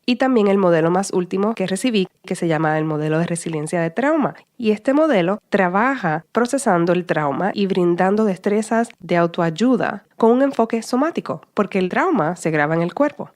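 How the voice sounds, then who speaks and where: A woman in her thirties with a Puerto Rican accent, hosting her own podcast and reading a calm, measured, scripted introduction. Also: mid-range voice. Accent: Puerto Rican Spanish. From Puerto Rico. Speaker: podcast host, psychologist. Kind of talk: scripted solo podcast monologue.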